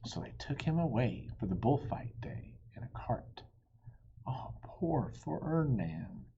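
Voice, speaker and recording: A man reading a children's story aloud in an expressive, dramatic way, addressing young listeners, with a breathy voice that often drops toward a hush. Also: mid-range voice, takes it slowly, whispered. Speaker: storybook reader. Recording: dull, muffled lo-fi audio.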